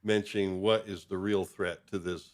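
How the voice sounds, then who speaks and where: Low-voiced man hosting a casual show. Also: fairly even intonation, moderate pace. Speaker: host of a fan fiction show. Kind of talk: casual chat.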